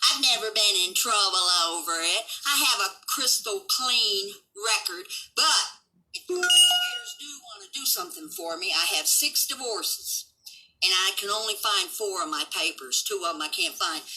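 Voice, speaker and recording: Brash seventy-year-old woman, forceful bright voice. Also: medium pitch, low-quality recording. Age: 70s. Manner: brash, defiant, boastful; folksy, profane, ungrammatical speech. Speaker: livestream host. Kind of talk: unscripted solo rant to viewers.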